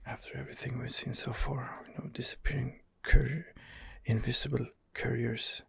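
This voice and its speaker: A man murmurs ASMR roleplay commentary as he plays, in a very husky, breathy near-whisper full of fry. He rambles and hesitates, thinking aloud, recorded close and low. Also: fairly even intonation, normal speed, fairly bright tone. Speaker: gaming ASMR host playing in character.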